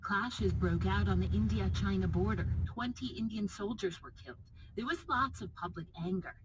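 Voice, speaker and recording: A woman narrating a scripted radio report in a measured way, heard over a car radio. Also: mid-range voice. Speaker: radio correspondent. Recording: radio report under loud car noise.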